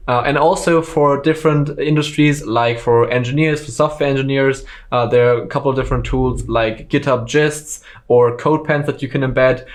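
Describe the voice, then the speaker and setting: A native German speaker talks through a screen-recorded software lesson as a course instructor. His voice sits at a middle pitch. He explains steps in a relaxed way, with frequent ums and uhs. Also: moderate pace, hardly any pauses.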